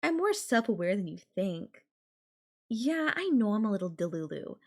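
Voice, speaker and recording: A woman voice actor playing an obsessive, possessive girlfriend in an intimate audio roleplay, with a coy, casual, drawn-out way of talking. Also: medium pitch, big pitch swings, a little mellow. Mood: teasing, menacing. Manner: coy, drawn-out, casual filler-laden phrasing with giggles and sighs. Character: obsessive, possessive yandere girlfriend, playfully menacing kidnapper.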